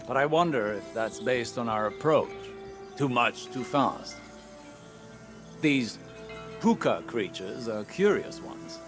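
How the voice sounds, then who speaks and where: A scholarly researcher with a mid-pitched male voice, musing precisely over clear background music. The delivery is measured and thoughtful, as in a sci-fi game cutscene. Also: expressive. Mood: curious, musing. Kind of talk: video game cutscene acting.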